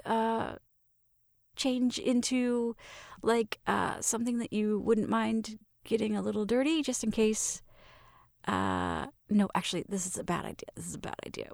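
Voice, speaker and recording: A woman's voice: fairly high pitch, vocal fry, no room sound, studio quality. A woman playing a shy, smitten young roommate in an intimate roleplay, nervous and stammering as she talks to the listener. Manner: nervous, stammering, giggly, self-interrupting.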